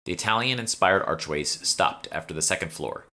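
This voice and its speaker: A man's voice: deep voice, expressive, moderate pace, bright, forceful tone. A man reading scripted first-person fiction as an author narrating his own audiobook.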